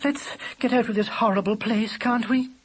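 A man's voice: very high voice, fairly even intonation, moderate pace, breathy edge, pitched above the performer's own voice. A man voicing a nervous female dog in a storybook, timid and pleading.

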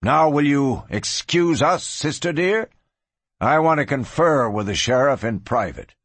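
A man's voice: medium pitch, expressive, a little slow, slightly rough, no echo. A man voicing an arrogant, domineering cattle baron in a Western storybook, explosive and sneering. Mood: stern, impatient. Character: arrogant, hot-tempered Western cattle baron, bullying older brother. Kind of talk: dramatised audiobook reading.

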